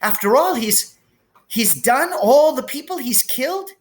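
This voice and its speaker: A man's voice: very high voice, expressive, a little slow, pitched above the performer's own voice. A man voicing an anxious, stern older witch who is a professor in a storybook. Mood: astonished, faltering. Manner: astonished, disapproving.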